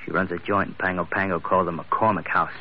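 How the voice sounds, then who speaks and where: An actor playing a sullen, burly American stowaway bent on revenge, talking tough and slangy in 1940s radio style. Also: male voice, sits low, fairly even intonation, fast. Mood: defiant, bitter. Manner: surly, tough, slangy, grudging. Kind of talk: 1940s radio adventure acting. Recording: muffled old radio recording, faint noise.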